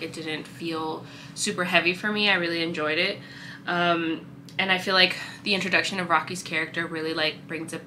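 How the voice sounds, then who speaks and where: A young woman in her twenties talks to her camera from a room lined with bookshelves, her voice a little stuffy from allergies. She sounds bright and keen, a touch low for a woman, and pads her sentences with "like" and "um". A faint hiss of room noise sits under the voice. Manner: chatty, filler-heavy with frequent "like" and "um". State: congested from allergies. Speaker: book vlogger. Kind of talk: casual reading vlog chat. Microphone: fixed camera at desk distance.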